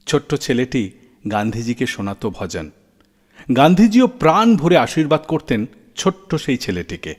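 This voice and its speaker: This is a male radio host, narrating scripted stories. His delivery is lively and dramatic, swinging up and down with deliberate pauses. The voice sits in long reverb over a music bed. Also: mid-range voice.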